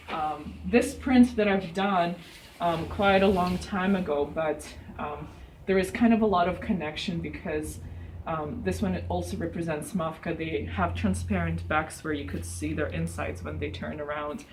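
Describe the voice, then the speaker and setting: A young woman artist speaking in an interview at a gallery, explaining her artwork with frequent pauses and fillers. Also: fairly low pitch, a little hiss or hum, poor audio. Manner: hesitant, many ums and uhs. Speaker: exhibiting artist. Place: art gallery, indoors.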